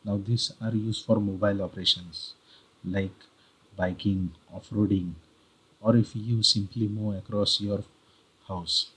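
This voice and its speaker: A male tutorial host with an Indian accent and a lowish voice. His tone is forceful and bright, with a husky, rough edge. He explains things deliberately, one short phrase at a time. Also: fairly even intonation, low-quality recording. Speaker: host of a how-to video channel.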